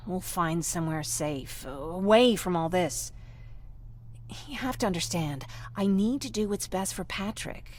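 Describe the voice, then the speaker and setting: A woman playing a gentle, wistful young survivor with a creaky voice in a video game. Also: lowish voice, animated, a little slow. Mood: hesitant, protective. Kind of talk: video game dialogue acting.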